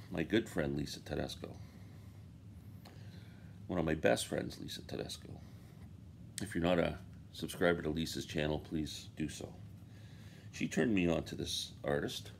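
A man's voice: rumbling low, vocal fry, darkish tone, slight background noise. A man in his fifties, sitting at home and casually chatting about records he bought, rambling and enthusiastic. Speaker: vinyl collector vlogger. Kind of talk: casual record show-and-tell. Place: home room with framed posters, indoors.

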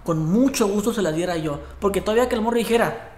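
Animated Mexican man in an echoey barbershop. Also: sits high, fast. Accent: Mexican Spanish. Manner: animated, profane, acts out quoted voices with gestures. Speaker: barber and vlogger. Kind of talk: casual storytelling vlog. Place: indoor barbershop with brick wall. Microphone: camera at desk distance.

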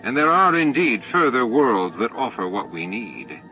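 A measured, falsely regretful alien commander, voiced by a male audiobook reader, speaks formally to his hive. The recording is muffled, with faint music behind. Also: mid-range voice, big pitch swings, lightly acted. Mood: firm, solemn. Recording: dull lo-fi tape audio with music.

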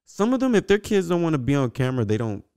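Smooth, mellow young man chatting casually. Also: a little high, very animated, fast. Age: young adult. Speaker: podcast co-host. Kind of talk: casual podcast chat. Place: small indoor podcast room. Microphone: close podcast microphone on boom arm.

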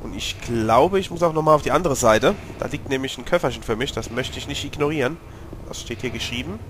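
A German-speaking man with a cold, slightly hoarse, giving chatty commentary while playing a horror game and talking to his character. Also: mid-range voice, fairly quick, noisy background. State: has a cold, clearing his throat. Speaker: let's play gamer. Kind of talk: casual gameplay commentary.

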